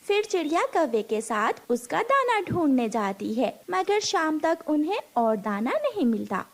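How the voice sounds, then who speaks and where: Woman narrator for a kids' cartoon, high, lively, gentle storybook telling. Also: unhurried.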